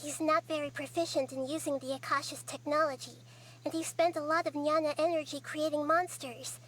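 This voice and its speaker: A woman voicing a wise, kind small girl goddess in a video game, explaining gently. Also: sits high, steady tone, a little fast, a little hiss or hum, poor audio. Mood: calm.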